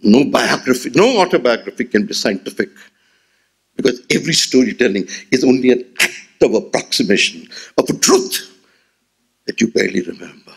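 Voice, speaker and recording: Husky Indian man lecturing expressively. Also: mid-range voice, normal speed. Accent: Indian English. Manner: expressive, rhetorical, gestures while speaking. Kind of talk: memorial lecture. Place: auditorium stage at a podium. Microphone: podium gooseneck mic.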